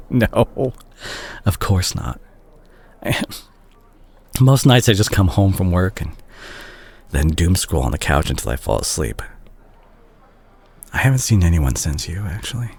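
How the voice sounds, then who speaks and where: A man acting as a remorseful young doctor talking intimately to his ex in an audio roleplay. His voice is low and husky, with lively swings in pitch. He speaks gently and haltingly, full of tenderness. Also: exaggerated voice. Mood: wry, wistful. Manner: hesitant, soft-spoken, halting. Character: remorseful, tender male doctor, listener's ex-boyfriend.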